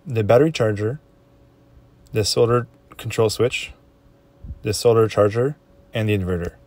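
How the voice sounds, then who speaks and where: A man's voice: fairly low pitch, moderate pace, darkish tone, no echo. A man narrating a walkthrough tour of his own boat, listing the equipment in a plain, matter-of-fact way. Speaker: boat owner giving a tour.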